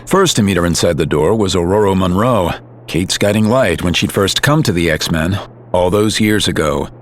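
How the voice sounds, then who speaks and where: A man narrating a scripted audio drama in a serious, measured storytelling manner. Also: deep voice, slight background noise.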